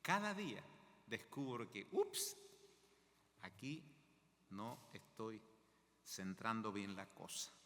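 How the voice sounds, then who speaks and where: Lively older Argentine preacher. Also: male voice, fairly low pitch, takes it slowly, long pauses, in a large hall. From Mendoza, Argentina. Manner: conversational, asks the congregation questions. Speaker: guest preacher. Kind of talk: sermon.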